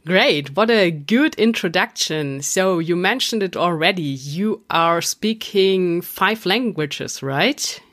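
A woman hosting a podcast interview, introducing her guest in a measured, admiring way. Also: on the low side, animated, a bit bright. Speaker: podcast host.

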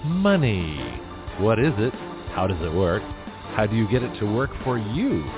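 A man's voice: lowish voice, big pitch swings, normal speed. A male announcer reading a dramatic, scripted segment intro. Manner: dramatic, promotional delivery. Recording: phone-like sound over loud music.

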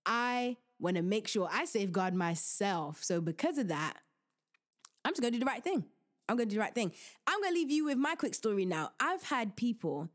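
Animated, joking woman podcast host. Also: mid-range voice. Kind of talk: casual advice talk.

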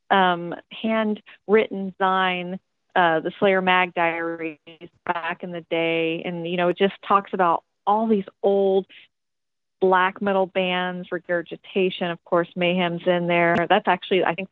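A woman's voice: mid-range voice, dry recording. Chatty woman on a tinny phone line. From Tennessee, US. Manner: chatty, rambling, many ums, occasional swearing. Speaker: metal band singer, interview guest. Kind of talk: casual interview answers.

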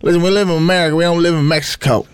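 A man's voice: a little high, fairly even intonation, very fast, clear, resonant tone, close to an everyday voice. A man putting on a cranky, bigoted uncle who rants in a folksy, indignant way in a comic bit. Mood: indignant ranting.

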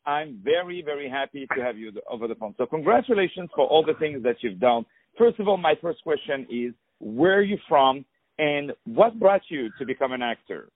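A man's voice: on the high side, animated, fairly quick, no echo. A male radio host welcoming and interviewing a guest, effusive and repetitive, full of uh fillers. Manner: effusive, repeats words, many uh fillers. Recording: dull lo-fi radio sound with faint music.

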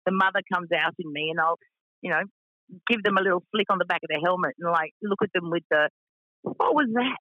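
A woman with a deep voice who owns a racing team, talking frankly with lots of fillers in a radio interview. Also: brisk, dry recording, highs cut off. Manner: frank, chatty, many fillers.

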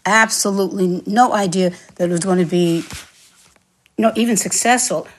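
A woman singer and stage actress, a guest recounting her career in a relaxed interview, laughing often and repeating words for emphasis. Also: medium pitch, normal speed. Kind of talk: relaxed reminiscing interview talk.

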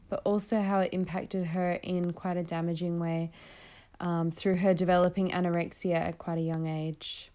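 A woman's voice: on the low side, a little mellow. A woman hosting a podcast, giving a casual introduction in an earnest, effusive way. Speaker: podcast host.

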